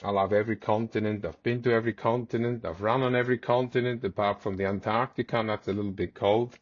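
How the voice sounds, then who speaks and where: A man's voice: lowish voice, steady tone, fast talker, dry recording, dull audio. A man in his forties, raised in South Africa and a native Swiss German speaker, telling stories as a podcast guest, rambling with many restarts. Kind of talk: casual storytelling.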